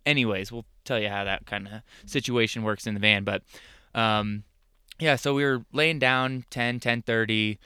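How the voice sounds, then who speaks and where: A man's voice: fairly low pitch, fairly even intonation, moderate pace, bright, forceful tone, smooth, dry recording, clean studio sound. A man co-hosting a podcast, joking and laughing through casual chat. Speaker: podcast co-host.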